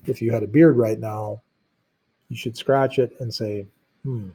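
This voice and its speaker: A man narrating a storybook read-aloud in an expressive, teacherly way, with explanatory asides. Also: medium pitch, normal speed, husky.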